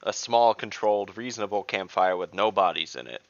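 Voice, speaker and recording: A man's voice: fairly low pitch, brisk, gravelly, no echo, dull audio. A man playing an online tabletop game, talking casually in a firm, dry, argumentative way.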